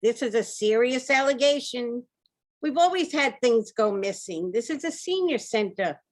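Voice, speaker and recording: A woman acts as a senior-center director in an old-time radio play. She is placating yet skeptical, with a high-pitched voice. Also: expressive, moderate pace. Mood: concerned. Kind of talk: amateur old-time radio theater acting.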